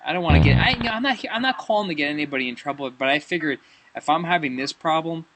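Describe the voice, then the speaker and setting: A man's voice: a little high, lively intonation, fast, a bit bright, dry recording. A man improvising a prank phone call as a comedy host, rambling and stammering and often repeating himself.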